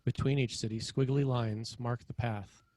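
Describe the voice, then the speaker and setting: A man's voice: mid-range voice, fairly even intonation, moderate pace, darkish tone, no echo. A man narrating screenplay scene headings and action in a scripted read.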